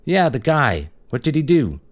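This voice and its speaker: A man's voice: medium pitch, big pitch swings, normal speed, pitched above the performer's own voice, natural voice. A man voicing a tired young male detective who pushes hard during questioning. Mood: pushy. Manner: pushy, needling.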